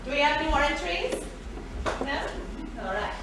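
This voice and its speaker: A woman's voice: high-pitched, lively intonation, moderate pace. A woman co-hosting a live quiz on stage, reading out questions in front of a studio audience. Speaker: cast member and quiz co-host. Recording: echoey, distant live stage recording with noise.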